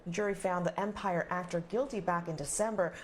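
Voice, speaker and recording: A woman's voice: fairly low pitch, steady tone, fairly quick, a little hiss or hum. A woman working as a news anchor, presenting and interviewing in a live broadcast style.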